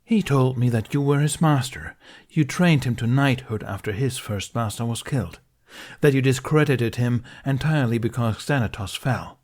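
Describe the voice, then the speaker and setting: A man's voice: medium pitch, normal speed, a little mellow, pitched above the performer's own voice, lightly acted. A man voicing a furious, grieving middle-aged knight who speaks in a heated, accusing, raised voice in an audiobook reading.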